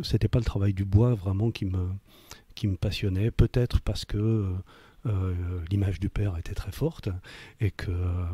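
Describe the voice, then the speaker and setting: Interview guest: man, sixties, reflective and halting, husky tone, close dry sound. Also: fairly low pitch, warm tone, no room sound. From Paris, France. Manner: hesitant, searching for words, with repeated words. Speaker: interview guest, leather craftsman. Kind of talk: reflective autobiographical interview talk.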